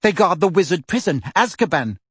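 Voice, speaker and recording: A prim, doting middle-aged aunt from a storybook, as a man plays her. She sounds anxious and jittery, with a raised, breathy voice and a husky edge. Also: high voice, very animated, brisk, a bit bright, no echo, clean studio sound, voice pushed up, heavily acted. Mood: flustered.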